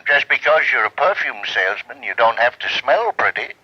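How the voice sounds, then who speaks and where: An actor playing a wry older informant sidekick in a 1940s radio drama, talking theatrically. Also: male voice, mid-range voice, very animated, normal speed, heavy background noise, natural voice. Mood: wry, confiding. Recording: muffled old radio recording.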